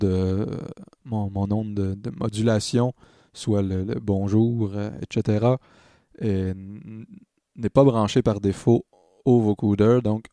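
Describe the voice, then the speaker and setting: A man narrating a step-by-step software tutorial as a tutor, hesitant and thinking aloud as he demonstrates. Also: on the low side, dry recording, studio quality.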